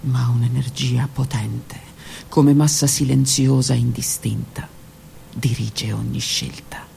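A woman's voice: a bass voice, fairly even intonation, takes it slowly, gravelly, warm tone, a little hiss or hum. A woman narrating her own literary text for a radio theatre piece, intimate and often dropping to a whisper. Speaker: author and narrator.

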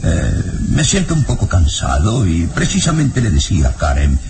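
An actor with a Mexican accent playing a fearful, secretive elderly professor in an old radio serial, halting and breathy. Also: male voice, deep voice, wildly swooping pitch, noisy background. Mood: nervous, evasive. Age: older. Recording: old radio serial with loud background music.

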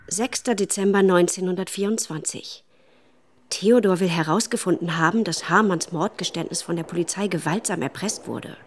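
A woman acting a concerned wife who narrates her diary in a radio play. Her voice is fairly low, restrained and sober, with faint noise behind it. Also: normal speed, natural voice. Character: sober, worried wife of a professor, keeping a diary.

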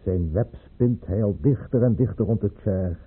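An actor playing a sardonic aristocratic baron in a Dutch radio play, speaking with wry, theatrical deliberation. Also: male voice, fairly low pitch, normal speed, slightly rough, narrow, tinny audio. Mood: wry, conspiratorial. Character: sardonic aristocratic baron, conspiring Russian courtier. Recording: band-limited old radio play recording.

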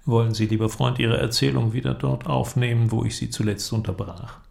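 An actor playing a sceptical, resolute inventor with a measured, ironic and formal way of talking in an audio drama. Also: male voice, medium pitch, steady tone, creaky, darkish tone. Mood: dry, probing. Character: worldly, sceptical male inventor, resolute and probing. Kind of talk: German audio drama acting. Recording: music under the voice.

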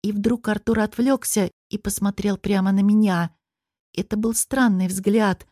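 A woman narrates a novel in the first person with expressive delivery. Her voice sits at an average pitch for a woman. The sound is dry, with hardly any room to it. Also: unhurried, no room sound. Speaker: audiobook narrator.

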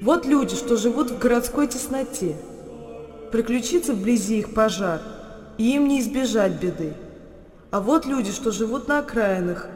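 An actress playing a pensive storyteller who laments human frailty, reciting in a measured, declamatory radio drama style. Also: female voice, on the high side, animated, a little mellow, lightly acted. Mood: pensive, lamenting. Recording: reverberant hall with faint music.

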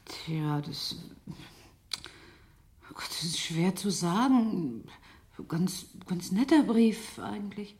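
A woman's voice: fairly low pitch, very animated, drawn-out words, pauses often, a little mellow, exaggerated voice. An actress in a German radio play as a wholesome, optimistic young woman who is flustered, hesitant and effusive. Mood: evasive, hesitant.

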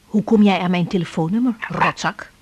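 A woman's voice: fairly low pitch, brisk, a little mellow, a little hiss or hum, natural voice. A woman playing a troubled, strong-willed young doctor in a radio drama, introspective and muttering, with a bitter edge. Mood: angry, upset. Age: young adult. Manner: introspective, muttering to herself, bitter when provoked.

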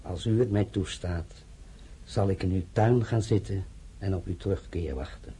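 A man's voice: low-pitched, warm tone, slight background noise. A grave, fatherly old general. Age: older. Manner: slow, solemn and fatherly.

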